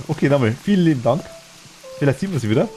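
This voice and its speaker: A man's voice: medium pitch, lively intonation, fairly quick, warm tone, soft background music, rough recording. A man with a Bavarian accent chatting casually and enthusiastically while streaming a game, laughing easily.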